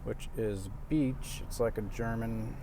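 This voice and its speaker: Slow, halting man outdoors by traffic. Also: mid-range voice. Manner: halting, many pauses and restarts. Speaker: vlogger. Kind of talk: casual rambling monologue. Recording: steady outdoor traffic noise.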